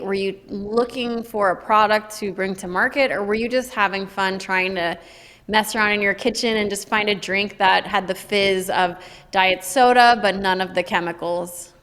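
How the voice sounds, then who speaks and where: A woman's voice: medium pitch, normal speed, a bit bright. Woman podcast host, upbeat, chatty, long echo on her voice. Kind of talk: podcast interview. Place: home studio. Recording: long reverb.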